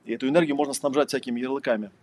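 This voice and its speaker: A man's voice: mid-range voice, fairly even intonation, rapid-fire, darkish tone. A man giving a casual lecture, talking conversationally with lots of fillers and rhetorical questions.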